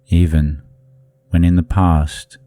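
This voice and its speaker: A man leading a guided meditation in a soothing, deliberate manner, leaving long pauses between phrases. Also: deep voice, level tone, warm tone, no room sound.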